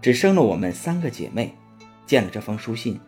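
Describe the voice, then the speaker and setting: A man voicing a gentle, pleading princess in a storybook, speaking quietly. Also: mid-range voice, big pitch swings, fairly quick, faint music behind, lightly acted. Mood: soft pleading.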